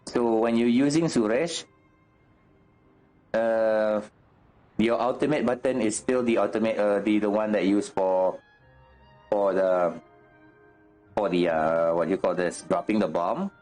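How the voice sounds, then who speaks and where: A man chatting casually as a game streamer, halting and repeating himself as he searches for words, mildly exasperated. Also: on the low side, fairly even intonation, darkish tone. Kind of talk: casual livestream chat while gaming. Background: music.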